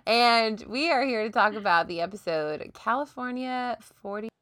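A woman's voice: a little high, normal speed, fairly bright tone, clean tone, dry recording, studio quality. A woman co-hosting a podcast in casual chat, chatty and laughing often, coming down with a cold. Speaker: podcast co-host.

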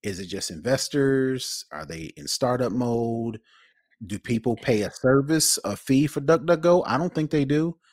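A man's voice: mid-range voice, lively intonation, a little slow, a little mellow, no room sound, clean studio sound. A man co-hosting a podcast, giving casual, opinionated commentary bluntly and emphatically, repeating phrases for effect. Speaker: podcast co-host.

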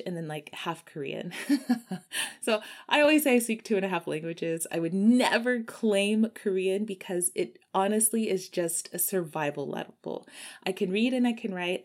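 A woman travel vlogger from Texas, likely in her thirties, answering viewer questions in a casual, chatty way and laughing often. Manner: chatty, laughs often, lively swings in pitch. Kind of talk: casual chat.

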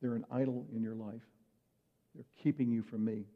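A man's voice: mid-range voice, fairly even intonation, moderate pace. Preaching man in a muffled echoing hall. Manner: conversational preaching with rhetorical questions. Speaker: pastor. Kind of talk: sermon.

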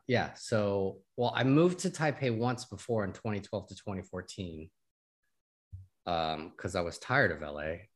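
Deep-voiced easygoing man. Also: fairly bright tone. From California. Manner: easygoing, laughs often. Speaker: podcast guest, creative director. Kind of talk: casual interview chat.

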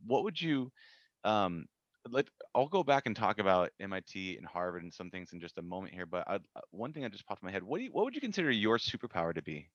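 A man hosting an interview, asking questions in a measured, reflective way. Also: deep voice, big pitch swings, no room sound. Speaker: podcast host. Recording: dull dry sound.

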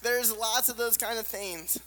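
A man with a squeaky voice preaching a sermon, hammering points through repetition and dropping to soft, hushed lines. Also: unhurried. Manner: emphatic repetition, drops to soft near-whispers. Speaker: pastor. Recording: long reverb of a large hall.

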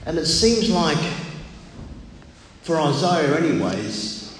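An older man with a loud voice preaches a sermon in a measured, deliberate manner with frequent pauses. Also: fairly high pitch, big pitch swings. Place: large echoey room, speaking to a congregation. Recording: very echoey hall, clear background noise.

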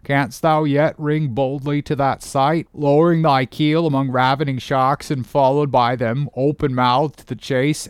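A man acts out a ship's first mate in a storybook sea tale, loyal and deeply troubled. His speech is solemn, pleading and archaic, in a mid-pitched voice with a dry, close sound. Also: darkish tone, no room sound, lightly acted.

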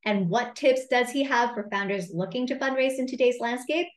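Woman, podcast host and career coach, upbeat and keen, higher voice in a roomy space. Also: moderate pace. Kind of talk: podcast interview.

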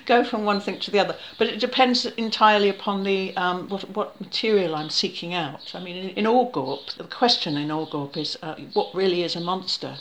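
A woman's voice: mid-range voice, fairly quick, hardly any pauses, fairly bright tone, low-quality recording. An older woman from New Zealand, a novelist being interviewed, talking in a rambling, self-deprecating way and laughing often. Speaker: author guest. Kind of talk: relaxed conversational interview.

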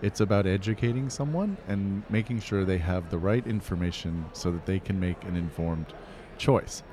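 A man from Pennsylvania, a curator, answering interview questions in a measured, earnest way. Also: low voice, warm tone, a little hiss or hum. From Pennsylvania, USA.

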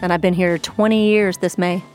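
Musician woman, Midwest-raised, relaxed storyteller in a podcast interview; sits a bit low, soft music under. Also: normal speed. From Midwestern United States. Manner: chatty, storytelling. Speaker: bar co-owner and musician. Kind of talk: casual interview answers.

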